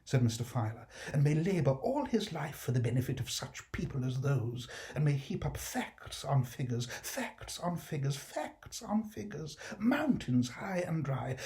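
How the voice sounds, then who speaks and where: A man playing a fussy, indignant economist who scolds in sharp high outbursts. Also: lightly acted.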